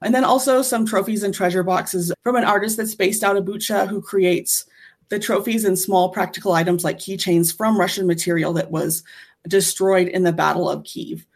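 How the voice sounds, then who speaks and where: A woman's voice: mid-range voice, fairly even intonation, normal speed. A woman, a volunteer fundraiser, giving an informative update in an earnest, conversational way. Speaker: guest charity fundraiser and volunteer.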